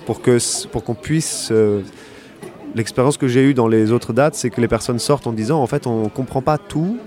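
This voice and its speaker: A bilingual French and Italian theatre man speaks reflectively as an interview guest. He searches for words, pauses often and fills gaps with hesitations. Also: fairly low pitch, darkish tone. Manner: reflective, halting, many fillers. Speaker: actor and director, guest.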